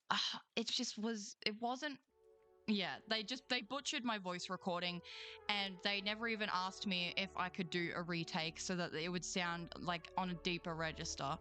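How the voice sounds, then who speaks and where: A woman's voice: medium pitch, sharp tone. A young Australian woman streamer and voice actor, ranting casually to chat with lots of slang and swearing. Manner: swears freely, slangy, quick emotional swings. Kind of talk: casual livestream rant and chat reading.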